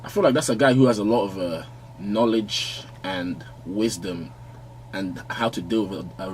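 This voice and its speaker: A young Nigerian-born man talks as a guest in a small podcast studio. His voice sits on the low side and his speech is easygoing, full of small restarts. A faint noise floor runs under the studio mic. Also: low-quality recording. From London, born in Nigeria. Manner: relaxed, halting, many restarts, laughs easily. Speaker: guest fashion designer. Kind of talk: casual podcast chat. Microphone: studio mic on arm, wearing headphones.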